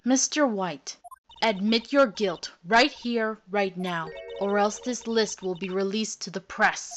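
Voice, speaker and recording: A woman playing a composed, caring mentor spirit in a game fandub, speaking in a calm, measured, firm way. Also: mid-range voice, soft background music, natural voice. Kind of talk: fandub voice acting of a visual novel game.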